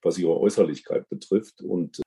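A man with a low, very soft and dark voice speaks as a juror in an unscripted interview. He explains things deliberately and at length, often halting to rephrase. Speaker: podcast guest and radio drama award juror.

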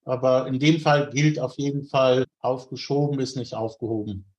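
A man speaking as an interview guest, giving conversational answers in considered, measured phrasing. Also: medium pitch, steady tone, a little mellow, poor audio.

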